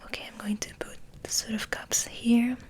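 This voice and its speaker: A woman hosting ASMR, rambling in a soft, breathy, hesitant whisper. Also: on the high side, little pitch movement, moderate pace. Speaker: ASMR video host.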